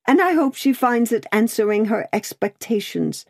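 A woman's voice: fairly low pitch, sing-song, moderate pace, darkish tone, pitched above the performer's own voice. A woman voicing an agitated, prim and devoted Englishwoman in a storybook reading, urgent and tearful. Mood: flustered, composing herself. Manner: urgent, exclaiming, prim and formal.

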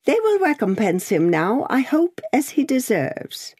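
A woman voicing a spiteful aristocratic gentleman who sneers in a raised voice. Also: high voice, very animated, measured pace, breathy edge, no echo, studio quality, pitched above the performer's own voice, exaggerated voice. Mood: sneering.

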